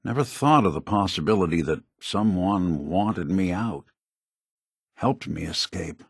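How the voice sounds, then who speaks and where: A man voicing a wry, kindly, world-weary android private detective in a video game, with a gravelly, husky voice and a laconic hardboiled delivery. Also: low voice, lively intonation, measured pace, no room sound, clean studio sound, heavily acted. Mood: somber, reflective. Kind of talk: video game character dialogue.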